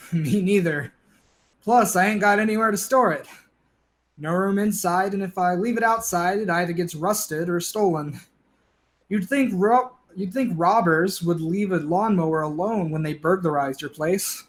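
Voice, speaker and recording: Man acting as high-voiced down-on-his-luck neighbor. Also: unhurried. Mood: wry, conversational. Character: down-on-his-luck bickering male neighbor, wry but conciliatory. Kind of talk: stage play dialogue.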